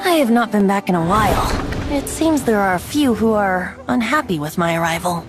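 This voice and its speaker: A woman's voice: mid-range voice, expressive, brisk, lightly acted. A woman voicing a youthful, boyish male bard in a video game, whimsical and theatrical, with a playful, wistful lilt. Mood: musing. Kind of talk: video game character acting. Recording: music behind the voice.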